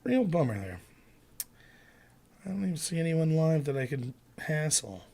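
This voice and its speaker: A man's voice: fairly high pitch, sing-song, normal speed, vocal fry, mellow, slightly rough. A man from Pennsylvania hosting a casual livestream on a headset at home, grumbling to himself with mild curses and airing blunt political opinions.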